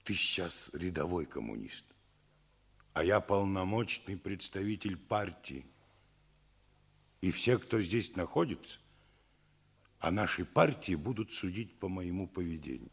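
An actor playing a steadfast, principled wartime commissar, speaking resolutely and deliberately in a 1950s Soviet radio drama. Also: male voice, deep voice, animated, gravelly, slightly rough, exaggerated voice.

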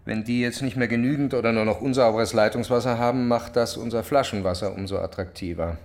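An actor playing a calculating, evasive company boss in a radio drama, with measured corporate talk. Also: male voice, mid-range voice, natural voice. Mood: calculating, curt. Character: smooth, evasive, calculating male water-company CEO. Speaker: corporate CEO.

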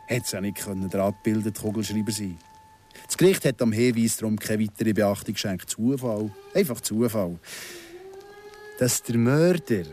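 An actor playing a vengeful, patient ex-convict in his thirties in a radio drama, speaking Swiss German in a deliberate, sardonic way. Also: mid-range voice, darkish tone, lightly acted. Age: 30s.

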